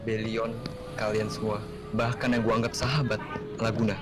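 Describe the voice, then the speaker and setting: A man's voice: fairly low pitch, little pitch movement, normal speed, natural voice. A man playing a betrayed, distrustful villager in a Minecraft roleplay, speaking in an accusing, indignant way. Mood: bitter, betrayed. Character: betrayed, bitter, distrustful young male villager. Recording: music behind.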